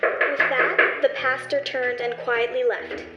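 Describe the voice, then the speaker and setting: A woman's voice: high-pitched, level tone, slow, lo-fi sound. A woman narrating a scripted story in the style of an old-time radio play. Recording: echoey room, music behind.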